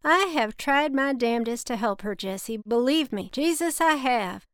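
A woman's voice: on the high side, lively intonation, moderate pace, smooth, no echo, clean studio sound, voice pushed up, close to an everyday voice. A woman voicing a folksy, exasperated but kind businessman with a Southern US drawl, in an audiobook story. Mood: regretful, firm.

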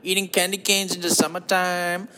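Dude doing a goofy flirty german guy, high voice, thick silly accent, creepy and campy, rambly podcast bit. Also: flat delivery, normal speed, bright, forceful tone, slight background noise. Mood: flirty, playful. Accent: exaggerated German.

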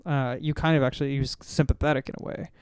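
A man's voice: mid-range voice, little pitch movement, fast talker, clear, resonant tone, dry recording, studio quality. A man hosting a casual film discussion, rambling and often correcting himself as he recalls details. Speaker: podcast host.

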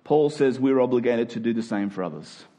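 A man's voice: mid-range voice, lively intonation, a little fast, dark tone. A man preaching a sermon to a congregation in an emphatic style, using rhetorical questions and repetition.

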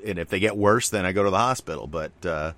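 A middle-aged man with a very low voice talks casually as a podcast co-host. He rambles, fills gaps with asides, and circles back to his point. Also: quick pace, fairly bright tone. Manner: rambling, loose, many fillers and asides. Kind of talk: casual conversation.

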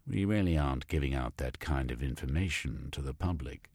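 Brief: aloof scientist gatekeeper, voiced by a male narrator, measured, disdainful, husky grain. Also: extremely low pitch, darkish tone, no room sound, clean studio sound. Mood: haughty. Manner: haughty, measured. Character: supercilious tall male zoologist official.

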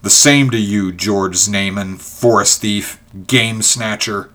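A man's voice: sits low, normal speed, a bit bright. A man voicing a proud, indignant landowner who retorts fiercely to his enemy in a storybook reading. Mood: bitter contempt. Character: proud, fierce landowner pinned down, defying a poacher.

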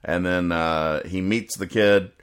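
A man hosting a casual solo podcast, explaining things in a rambling, halting, deadpan way. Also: sits low, clean tone. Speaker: podcast host. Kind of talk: casual solo commentary, explaining comic strips.

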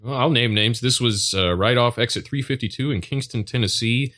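A man's voice: mid-range voice, fairly quick, a bit bright. A man co-hosting a comedy podcast, chatting casually in an irreverent, joking way. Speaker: podcast co-host.

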